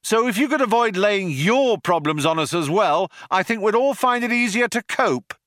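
A man voicing an exasperated, sarcastic male traveller shouting back at police, in an audiobook. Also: sits high, lively intonation, normal speed, no room sound, clean studio sound, pitched above the performer's own voice. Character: exasperated male hitchhiker in a firefight.

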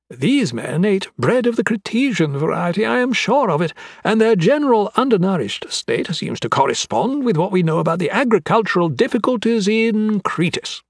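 Excitable, high-pitched eccentric anatomist. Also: male voice, dry recording, clean studio sound, full character voice. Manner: chatty, effusive, relishing grisly detail. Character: cheerful eccentric anatomist, youthful, macabre, gleefully excitable man.

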